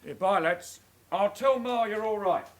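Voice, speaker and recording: An actor playing a young working-class crime suspect in a radio drama, casual but guarded. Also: male voice, high-pitched, a little slow. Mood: reassuring. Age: young adult.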